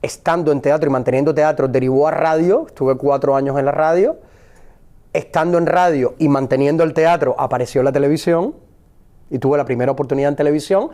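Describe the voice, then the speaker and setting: A middle-aged Cuban man with a Cuban accent, answering interview questions in a measured, reflective way with frequent pauses and restarts. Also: on the high side, dark tone. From Cuba. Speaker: actor and poet guest. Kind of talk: interview conversation.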